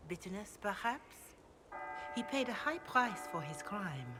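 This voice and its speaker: An actress voicing a devout, grave priestess in a video game, speaking in a measured, reverent way. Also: female voice, medium pitch, very animated, music in the background. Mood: reflective. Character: devout, grave chantry priestess, calm and counselling. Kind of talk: video game dialogue, scripture recitation.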